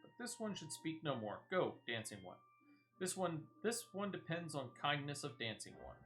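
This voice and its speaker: A gamer voicing a timid, wary creature of the wilds from a fantasy game, speaking simply and haltingly in the third person. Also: mid-range voice, very animated, a bit bright, faint music behind. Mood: worried, pleading. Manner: halting third-person speech, repeating words. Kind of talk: reading game dialogue in character.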